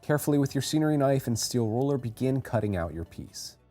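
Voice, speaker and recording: A man reading a scripted how-to voice-over as a tutorial narrator, giving clear step-by-step instructions. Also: mid-range voice, animated, fast, warm tone, faint music behind.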